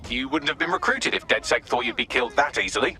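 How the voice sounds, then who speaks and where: An actor playing a dry-witted, articulate artificial intelligence assistant in a video game. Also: male voice, mid-range voice, lively intonation, fast, bright, forceful tone. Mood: dry, wry. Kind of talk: video game cutscene acting.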